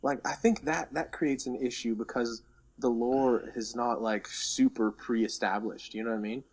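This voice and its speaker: A man's voice: mid-range voice, steady tone, normal speed. A man co-hosting a casual podcast about games and films, talking informally and tentatively as he thinks aloud. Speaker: podcast co-host.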